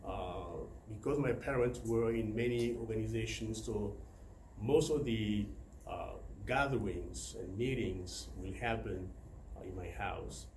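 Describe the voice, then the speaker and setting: A middle-aged man from the Democratic Republic of Congo giving a prepared personal talk to a club, pausing often with filler sounds. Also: lowish voice, a little mellow. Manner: hesitant, many filler sounds. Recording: roomy hall with faint ambient noise.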